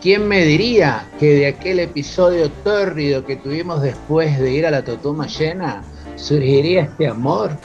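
Un actor de radionovela que interpreta a un doctor enamorado y afligido, de tono melodramático. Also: male voice, a little high, normal speed, hardly any pauses, a little mellow, dull audio, soft background music, natural voice. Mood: anguished. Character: devoted, anguished gentleman doctor in love. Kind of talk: radio soap opera acting.

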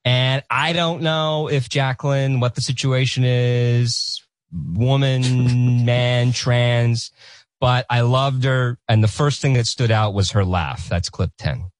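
A man's voice: medium pitch, fairly even intonation, slow pace, no room sound, studio quality. Man telling convention stories on a podcast. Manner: storytelling, laughs along. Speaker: co-host presenting clips. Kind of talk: casual comedy podcast chat.

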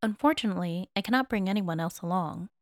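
A woman voicing a courteous, composed elderly male palace official in an audio story, formal and unhurried. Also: mid-range voice, no echo, studio quality, close to an everyday voice. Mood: calm, insistent. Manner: formal, slow, polite.

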